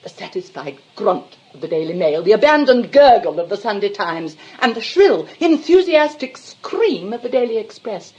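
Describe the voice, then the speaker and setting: A woman's voice: mid-range voice, very animated, normal speed, few pauses, darkish tone, highs cut off, close to an everyday voice. A woman playing a vain, self-dramatising retired actress and mother in a stage comedy, with a British accent and a grand, histrionic manner. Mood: rapturous, grand. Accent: British English. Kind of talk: theatrical stage comedy acting.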